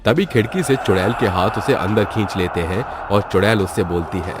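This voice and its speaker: A man's voice: on the low side, normal speed, background noise. A man narrating a scripted story as a storyteller.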